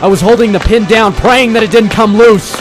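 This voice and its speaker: A man's voice: sits high, fairly quick, music in the background, natural voice. A man playing a brave, wry ex-Marine private eye, narrating dramatically in 1940s radio detective style. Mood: urgent, tense. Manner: dramatic first-person storytelling.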